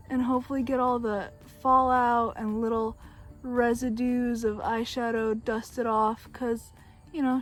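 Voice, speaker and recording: Young female beauty vlogger, Bulgarian first language, higher-pitched and chatty, self-deprecating, phone-recorded outdoors. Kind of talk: casual makeup tutorial chat. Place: outdoors on a back porch. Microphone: phone camera.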